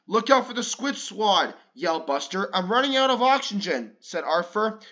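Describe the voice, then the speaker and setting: A man's voice: sits high, moderate pace, lo-fi sound. Man reading a picture book aloud. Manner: breaks off to comment casually between pages; lively, with raised voices for excited lines. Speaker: read-along storyteller.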